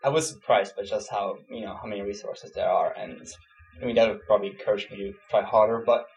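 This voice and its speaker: Fast-talking young man from Switzerland. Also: lowish voice, highs cut off. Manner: relaxed, many fillers, laughs easily. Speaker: student interviewee. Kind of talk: casual interview answers.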